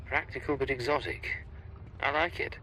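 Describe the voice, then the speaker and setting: An actor playing a suave, wry, self-assured mercenary agent in a video game. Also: male voice, mid-range voice, steady tone, normal speed, bright, forceful tone, slight background noise, close to an everyday voice, rough recording. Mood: cocky, cool. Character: cool, cocky mercenary captain, imperial agent. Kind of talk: scripted video game acting.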